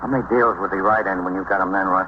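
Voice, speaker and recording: A man acts out a businesslike detective lieutenant from 1950s radio drama. His low voice is brisk and confident, over a noisy, dull old recording. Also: fairly even intonation, close to an everyday voice.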